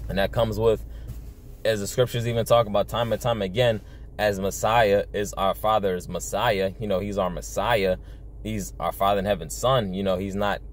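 A man's voice: lowish voice, darkish tone, slight background noise. A young man talking earnestly to camera in an informal preaching style, repeating himself and often saying "you know", recorded on a phone in a car. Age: young adult. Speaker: religious video blogger. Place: inside a parked car at night.

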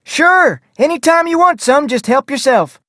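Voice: sits high, expressive, a little slow, sharp tone, dry recording, studio quality, exaggerated voice. An actor voicing a folksy ranch cook in a mystery video game, with colloquial Western talk. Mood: chipper, helpful. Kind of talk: video game character voice lines.

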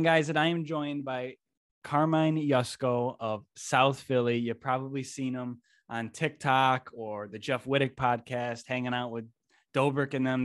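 A man's voice: mid-range voice, a bit bright. A man in his mid-twenties hosting a podcast, chatty and loose, filling his talk with likes, speaking into a desk microphone in a small room. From Niagara Falls. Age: 20s. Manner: chatty, lots of filler likes. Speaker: podcast host. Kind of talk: casual interview chat.

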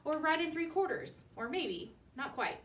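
Female storybook reader, thirties, high voice, measured rhyming delivery; distant mic with some background noise. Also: expressive, fairly bright tone, reverberant. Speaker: school librarian reading aloud. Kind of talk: children's picture book read-aloud.

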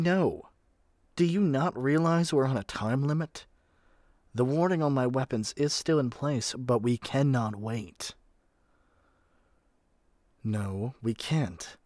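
A man's voice: mid-range voice, expressive, a little slow, long pauses, darkish tone, dry recording, clean studio sound, natural voice. A man playing a haughty, impatient god in an audio roleplay, with an imperious, theatrical way of talking. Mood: impatient, exasperated. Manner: imperious, theatrical, addresses listener as mortal. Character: haughty, impatient male god of magic rooming with a human.

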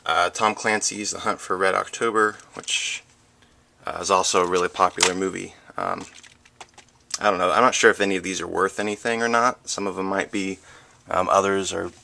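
A noisy handheld home recording of a man with a very deep voice. His delivery has lots of vocal fry and is casual and unscripted. He hesitates and trails off as he goes through items one by one. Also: flat delivery, moderate pace, sharp tone. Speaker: book vlogger. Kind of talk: casual chat showing a collection item by item. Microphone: handheld camera, close behind it. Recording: noisy home camera audio with handling clicks.

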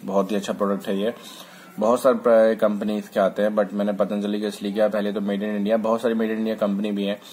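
A man chatting informally through a product review, his voice low and fairly even with little rise and fall. He hesitates often and restarts his phrases. A faint hiss of room noise sits behind him. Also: fast. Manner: informal, fillers and restarts, mixes English words. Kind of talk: casual product review vlog. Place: indoors, hands handling bottle on a table.

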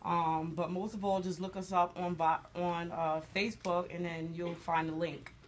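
A woman's voice: fairly low pitch, level tone, normal speed, a little hiss or hum. A woman who directs a dance studio, speaking earnestly and proudly as a guest in a radio studio.

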